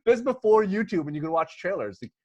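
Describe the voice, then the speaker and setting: A man in his thirties hosting a casual movie podcast, talking in a halting, bantering way and teasing the others. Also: sits high, big pitch swings, dry recording, muffled recording. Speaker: podcast host.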